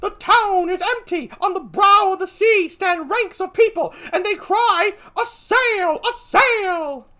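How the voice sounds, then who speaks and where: A woman acting an excitable gentleman in a theatrical read-aloud of a Shakespeare play, exclaiming and declaiming. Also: extremely high pitch, slow, few pauses, heavily acted. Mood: urgent, calling out. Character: excitable high-voiced gentleman watching a stormy sea.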